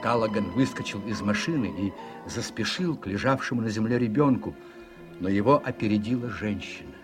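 A man narrates a radio drama from a script. His voice is fairly low, with lively, varied intonation. Faint music plays behind him. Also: moderate pace.

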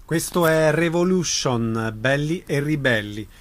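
A man's voice: a little high, lively intonation, a little slow, faint music behind. A man presenting as a radio host, reading scripted announcements.

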